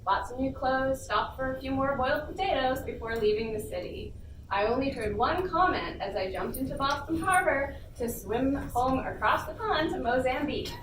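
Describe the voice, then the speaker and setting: Lady reading her funny story out loud, playful, echoey noisy room. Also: sits high, animated, normal speed, recorded from a distance. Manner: playful, amused. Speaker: panelist and writer. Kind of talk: casual humorous read-aloud of own story. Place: convention panel room before a small audience.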